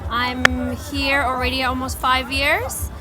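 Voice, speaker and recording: A woman's voice: high-pitched, little pitch movement, slow pace. A woman chatting casually in a street interview about living abroad. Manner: chatty, light laughter. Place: busy city street, outdoors. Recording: street crowd and music behind.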